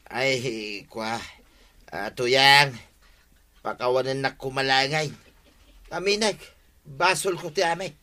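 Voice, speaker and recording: An actor with an Ilocano accent playing a defensive, sheepish village husband in a radio comedy drama. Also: male voice, mid-range voice, takes it slowly, pauses often, bright, forceful tone.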